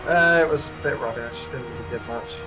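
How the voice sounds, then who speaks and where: A man's voice: medium pitch, a little fast. A man chatting casually as he plays a video game live with a friend, giving commentary as a streamer. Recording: game music under the voice. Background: video game music.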